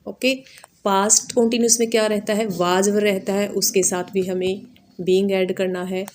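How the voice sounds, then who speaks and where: An Indian woman gives a lesson in the manner of a grammar teacher. Her voice sits at a middle pitch. She explains each step in an instructional way, repeating key points and adding short tags to check understanding. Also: little pitch movement, unhurried, a little mellow, a little hiss or hum.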